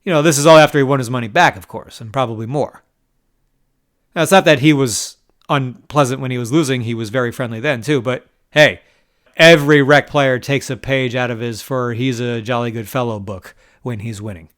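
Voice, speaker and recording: A man's voice: medium pitch, a little fast, a bit bright. A man from New York telling poker table stories in a wry, exasperated way, with short laughs. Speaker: poker vlogger. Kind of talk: casual storytelling monologue.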